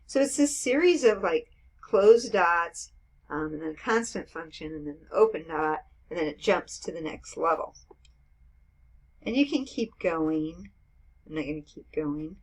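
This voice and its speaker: A woman's voice: fairly low pitch, expressive, a little slow, rough recording. A woman in her fifties teaching math in a casual screen-recorded lecture, explaining with everyday examples and checking in with "okay" and "right". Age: 50s.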